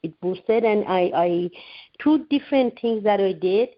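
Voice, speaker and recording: A woman's voice: medium pitch, lively intonation, measured pace, no room sound. A woman in her forties from Iran, a guest author answering radio interview questions earnestly, with frequent restarts. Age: 40s. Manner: earnest, many restarts and fillers. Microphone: phone line. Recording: dull lo-fi phone-line sound.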